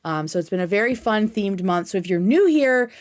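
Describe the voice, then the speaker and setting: A woman hosts a livestream in a medium-pitched voice. She talks casually to her chat in an informal, scattered way. Her language is loose, and she swears freely. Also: expressive, brisk. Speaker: livestream host.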